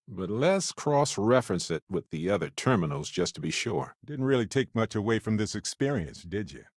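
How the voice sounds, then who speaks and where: Low-voiced, wry space explorer. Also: male voice, moderate pace, husky, dry recording, clean studio sound. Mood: wry, reflective. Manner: playful, expressive, conversational, wry. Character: wry, affectionate, talkative male spacefaring explorer companion. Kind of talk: video game companion dialogue.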